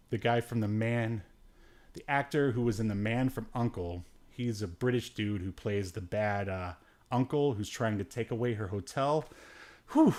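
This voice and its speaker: A man's voice: on the low side, a little mellow. A man hosting a podcast, casually reviewing a movie in a rambling, joking way with sarcastic asides. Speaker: podcast host.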